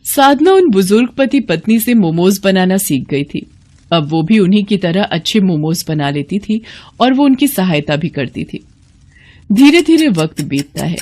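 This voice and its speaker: Mid-pitched woman storyteller. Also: lively intonation, moderate pace, a little mellow. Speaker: storyteller, narrator. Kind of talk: scripted storytelling narration.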